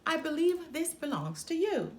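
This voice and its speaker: A woman's voice: very high-pitched, very animated, normal speed, a little mellow, roomy sound, voice pushed up. A woman voicing a polite, hopeful male frog, an enchanted prince, in a storybook reading. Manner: courteous, formal. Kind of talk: storybook character voice.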